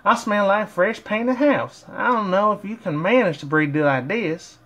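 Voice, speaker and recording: A man's voice: high-pitched, measured pace, fairly bright tone, pitched above the performer's own voice. A man voicing a blunt, grumbling female cartoon farm pony who complains insistently.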